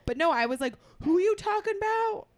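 A woman guest on a casual comedy podcast, soft-spoken and giggly, telling stories about herself. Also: very high-pitched, sing-song, quick pace, no room sound.

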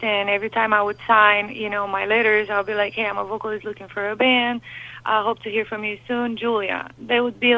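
A young woman with a Romanian accent, a lead singer telling her story in a phone interview, candid and animated with lots of fillers. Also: on the high side, dry recording. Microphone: telephone. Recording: tinny phone line, dry.

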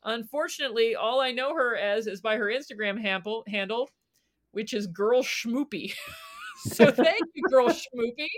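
A woman's voice: a little high, moderate pace. A woman hosting a podcast in a casual, chatty style with playful banter. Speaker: podcast host. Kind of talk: casual chat.

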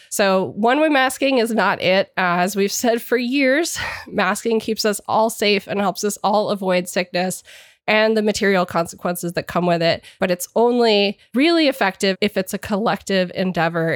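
A woman's voice: medium pitch, no echo, clean studio sound. A woman hosting a podcast, a little congested from a cold, speaking in an earnest, explanatory way. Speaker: podcast host. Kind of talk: scripted podcast intro and discussion.

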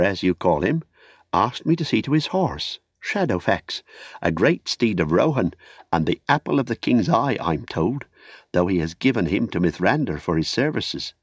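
A man's voice: fairly low pitch, breathy edge, dry recording, clean studio sound, exaggerated voice. A man voicing a small young hobbit in a storybook, courteous, earnest and eager, with formal old-fashioned phrasing. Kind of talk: audiobook character acting.

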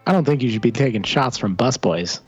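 A man's voice: medium pitch, animated, a little fast, breathy edge. A man with a mid-range voice that comes through dull and lo-fi, hesitating and mildly cursing his way through comedy banter. Faint music sits behind him. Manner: hesitant, swears mildly often.

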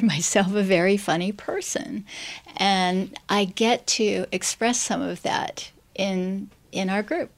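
A woman cabaret singer speaks in a gravelly, creaky voice. She is self-deprecating and laughs along as she talks. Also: fairly low pitch. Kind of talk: casual chat.

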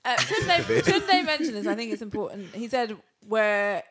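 A woman's voice: a little high, sing-song, moderate pace, a bit bright. A woman co-hosting a podcast, argumentative and insistent, often repeating herself to hold the floor. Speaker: podcast co-host. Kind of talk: casual podcast chat.

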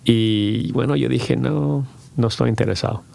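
A middle-aged man, a podcast guest who talks in halting bursts with many restarts and slips into English. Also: mid-range voice, creaky, a little mellow. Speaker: podcast guest, company founder. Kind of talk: casual bilingual interview.

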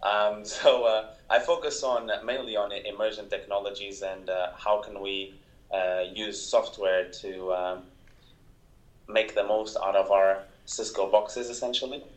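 A man with a Portuguese accent, a software engineer joining a podcast over a call, talking casually with many hesitations. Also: on the low side, fairly even intonation, unhurried, echoey room. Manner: hesitant, lots of uh and um, self-deprecating.

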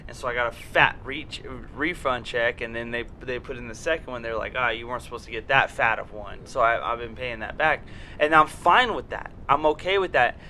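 A man with a bright, forceful voice talks loosely on a podcast, circling back on himself with "dude" and "you know". He swears freely. A faint hiss and rumble sit under the recording. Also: a little fast, rough recording. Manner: rambling, profane, repeats filler words. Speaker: podcast host. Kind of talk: casual podcast chat.

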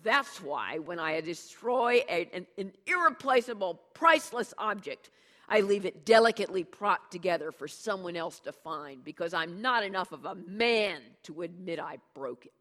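A man's voice: high-pitched, animated, normal speed, pushed and bright, in a large hall, pitched above the performer's own voice. A reader voicing a bitter, sarcastic father. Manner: biting sarcastic tirade. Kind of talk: audiobook character dialogue.

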